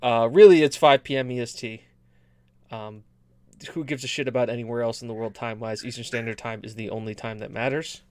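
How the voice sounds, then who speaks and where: A man's voice: mid-range voice, steady tone, fairly quick, a little mellow, slight background noise. A man co-hosting a casual gaming podcast, chatting in a rambling, joking way with repeated and restarted phrases. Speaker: podcast co-host.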